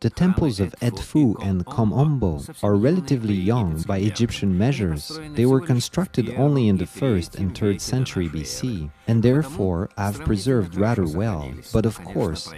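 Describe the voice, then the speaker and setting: A man reading scripted travel documentary narration in a measured, formal, slightly stiff way. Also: lowish voice, animated. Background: music.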